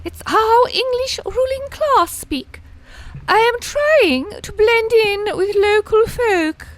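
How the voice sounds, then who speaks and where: A woman's voice: extremely high pitch, takes it slowly. A woman playing a bossy Soviet spy nun. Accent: put-on Russian. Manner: bossy, commanding, clipped broken English. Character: stern female Soviet spy posing as a mother superior. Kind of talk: amateur radio play acting.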